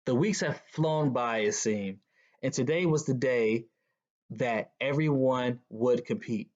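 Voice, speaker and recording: A man reads a picture book aloud to children as a guest reader. His voice sits at a middle pitch, and the lo-fi recording sounds slightly dull. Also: slow pace, darkish tone.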